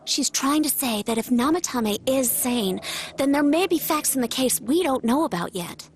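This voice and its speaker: A woman's voice: high voice, lively intonation, moderate pace. A woman voicing an earnest, thoughtful teenage girl in a video game dub, explaining tentatively.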